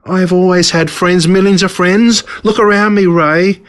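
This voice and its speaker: A man's voice: sits high, fairly even intonation, normal speed, pitched above the performer's own voice, lightly acted. A man voicing a smug, menacing villain in a storybook reading, pompous and theatrical in his delivery. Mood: indignant, boastful. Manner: pompous, gloating, dramatic.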